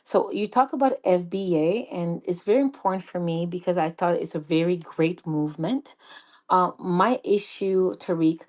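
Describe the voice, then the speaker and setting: A woman caller from Montreal speaks. Her voice sits a little low, and she hesitates often, filling the gaps with ums and uhs while she makes her point to the host. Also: normal speed, darkish tone. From Montreal, Canada. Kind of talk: call-in radio comment.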